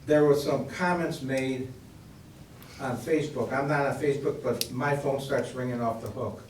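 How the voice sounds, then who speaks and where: A man's voice: medium pitch, steady tone, moderate pace, slight background noise. Man, plain middle voice, blunt questions called from the floor of a roomy hall. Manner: blunt, loud, speaking from his seat. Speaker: resident at public comment. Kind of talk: public comment questions. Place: township meeting hall. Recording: echoey room from far off.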